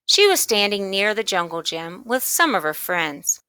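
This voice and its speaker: Woman, storybook narrator, bright tone, dry sound, children's read-along style. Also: medium pitch, very animated, moderate pace, smooth, no room sound.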